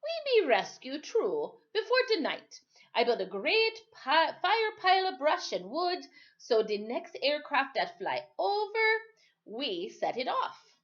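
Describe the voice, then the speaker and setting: A woman's voice: high voice, wildly swooping pitch, slow pace, dull audio, pitched above the performer's own voice, heavily acted. A woman voicing a hearty, kindly older sailor from a storybook, speaking emphatic dialect with a lilt. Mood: confident. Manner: emphatic, exclamatory dialect speech. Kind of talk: storybook character read aloud.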